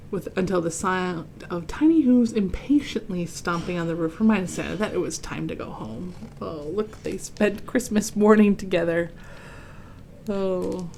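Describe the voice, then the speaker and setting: A woman reading a children's picture book aloud in an expressive way, pausing to explain and react to the pictures. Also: fairly low pitch, a little hiss or hum.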